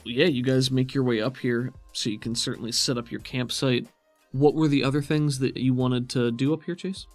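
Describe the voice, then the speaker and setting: A man speaking as game master of a tabletop roleplaying game, describing scenes and talking rulings through conversationally, at a middling pitch. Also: fairly even intonation, normal speed, dry recording, soft background music.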